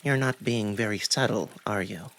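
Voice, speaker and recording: A man's voice: fairly low pitch, normal speed, a bit bright. A man voicing a sly, wounded male cat in a visual novel, haughty and evasive, with formal, indignant phrasing. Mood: sullen, guarded. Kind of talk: visual novel character acting.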